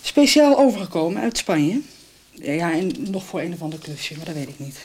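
A woman's voice: fairly low pitch, big pitch swings, normal speed, a little mellow, breathy edge. An actress playing a relieved, chatty woman visiting friends in a radio soap, a little hesitant. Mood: confiding.

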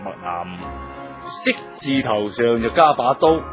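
Coaxing, anxious gentleman, faint music. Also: medium pitch, lively intonation, takes it slowly. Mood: persuasive, probing. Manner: persuasive, coaxing. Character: anxious, placating, self-interested gentleman philanthropist. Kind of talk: Cantonese radio drama acting.

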